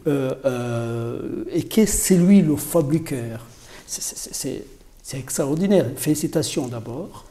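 Male interviewer, Rwandan accent, mellow tone, hesitant and repetitive phrasing. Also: medium pitch, animated. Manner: halting, searching for words, repeating himself. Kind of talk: sit-down interview. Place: indoor showroom with product shelves.

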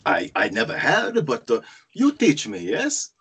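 A man's voice: mid-range voice, huge pitch swings, unhurried, a bit bright, husky, full character voice. A man playing an ambitious, wry young Egyptian immigrant freshman, narrating his own story in a screenplay table read over a video call. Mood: eager, awkward. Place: indoors at home, group video call. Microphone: computer or headset on video call.

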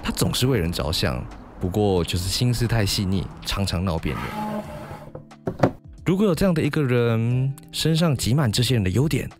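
A man's voice: lowish voice, expressive, normal speed, over music. A man with a Taiwanese accent, hosting with narration and discussion. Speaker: podcast host.

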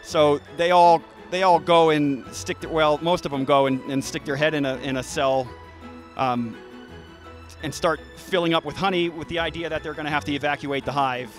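A man's voice: a little high, moderate pace, soft background music. A man in his thirties, a beekeeper, talking casually outdoors into a handheld mic, with plenty of restarts and fillers. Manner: relaxed, frequent restarts and fillers. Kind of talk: casual explanation to an interviewer. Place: outdoors by beehives in a garden. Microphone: handheld interview mic.

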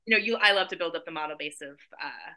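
A woman's voice: deep voice, fast talker. A woman in her forties, a photographer and guest, talking in a chatty, enthusiastic way over a video call from her living room. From San Diego, California. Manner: chatty, enthusiastic, animated hand gestures. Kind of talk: casual interview on a video call.

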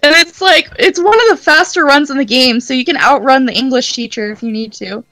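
A woman chats informally in a group voice call. Her voice sits high and sounds dry, with no room around it. She explains gaming things like a friend would. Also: brisk, sharp tone, dry recording, faint music behind, low-quality recording. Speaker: guest in a group voice chat. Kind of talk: casual gaming chat.

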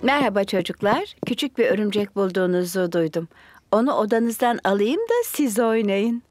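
A woman voicing a kindly, sensible, gently teasing mother pig in a children's cartoon dub. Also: medium pitch, animated, normal speed, soft background music, natural voice. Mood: kindly calm.

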